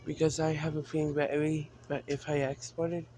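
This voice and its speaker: Halting, flat-toned man on a noisy recording. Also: fairly high pitch. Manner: halting, repeats and restarts phrases. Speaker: video creator announcing a decision to fans. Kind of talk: unscripted vlog-style talk.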